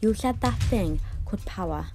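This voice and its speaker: A woman's voice: medium pitch, expressive, moderate pace, mellow, faint music behind, close to an everyday voice. A woman playing a tough, cocky mercenary in an audio drama, brash and confident. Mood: brisk, assured. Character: tough, cocky female gun-toting mercenary.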